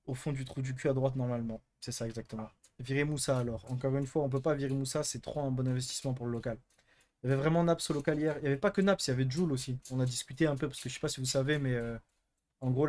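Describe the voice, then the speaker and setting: A man's voice: mid-range voice, a little mellow. A young man hosting a live stream, chatting casually and answering chat with crude, teasing jokes. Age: young adult.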